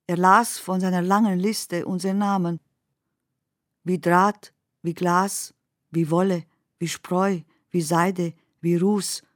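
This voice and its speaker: A woman reciting literary poetry for a radio play in measured, deliberate phrases. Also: on the low side, no echo, studio quality.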